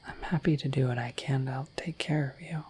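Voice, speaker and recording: A woman voicing a shy, affectionate, dorky dragon in a soft, breathy, halting ASMR whisper, close to the microphone. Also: a bass voice, steady tone, full character voice. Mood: tender, caring. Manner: halting, gentle, earnest. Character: shy, dorky, affectionate female dragon, naive about humans.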